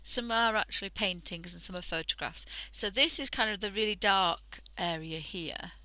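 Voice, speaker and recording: Lady with a cold, croaky but bright sounding, chatting and half whispering while she draws. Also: medium pitch, normal speed, no echo, poor audio. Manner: chatty, thinking aloud, drifting into half-whispers. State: hoarse from a cold. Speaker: art livestream host. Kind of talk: casual drawing tutorial commentary. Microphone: headset.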